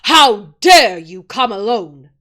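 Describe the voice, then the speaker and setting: Cold, commanding witch queen from a storybook, voiced by a woman; slow, bright-edged delivery. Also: fairly high pitch, very animated, exaggerated voice. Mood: cruel. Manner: imperious, commanding. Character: cruel imperious queen, cold and terrible.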